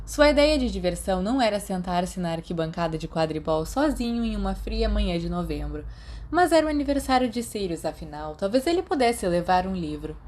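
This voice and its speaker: A woman's voice: medium pitch, big pitch swings, fairly quick, a little mellow. A woman with a Brazilian accent reading a story as a scripted narration. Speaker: audiobook narrator.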